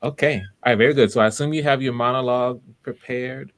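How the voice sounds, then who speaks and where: A man's voice: mid-range voice, fairly even intonation, fast talker, a bit bright. A man hosting live auditions, talking casually in an encouraging, enthusiastic manner as he gives feedback.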